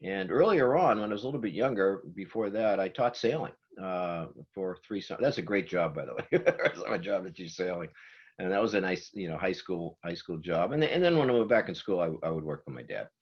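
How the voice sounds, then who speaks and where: Deep husky older man with a Boston accent. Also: lively intonation, a little fast, lo-fi sound. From Massachusetts. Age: 70s. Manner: chatty, laughs easily, restarts sentences. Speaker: guest. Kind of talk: casual video call chat.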